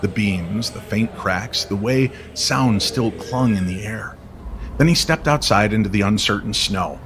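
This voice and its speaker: A man reading scripted story narration in an old-time radio style, measured and dramatic. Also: on the low side, noisy background. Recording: music bed under the voice. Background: music.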